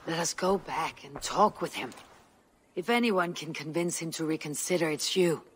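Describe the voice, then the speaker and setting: A woman playing a stern, loyal, battle-hardened Viking warrior with a husky voice and firm, earnest delivery in a video game. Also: low voice, moderate pace, slight background noise, natural voice. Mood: reasoning, calm. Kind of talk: dramatic video game acting.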